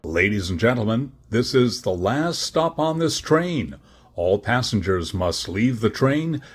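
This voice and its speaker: A man's voice: on the low side, big pitch swings, a little slow, a little hiss or hum. A man reading a formal, scripted automated announcement to train passengers.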